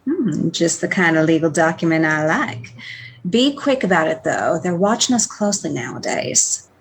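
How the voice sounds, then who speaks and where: A woman's voice: sits low, big pitch swings, normal speed, slightly rough, full character voice, rough recording. An actress playing a wry, savvy female prison guard, bantering dryly in a radio play. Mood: matter-of-fact, friendly. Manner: dry, bantering.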